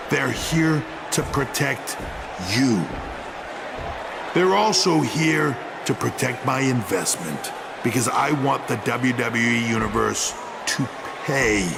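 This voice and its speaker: A man playing a menacing wrestling authority boss, delivering a deliberate, smugly threatening promo in a video game. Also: medium pitch, creaky, background noise. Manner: deliberate, menacing, drawn-out emphasis.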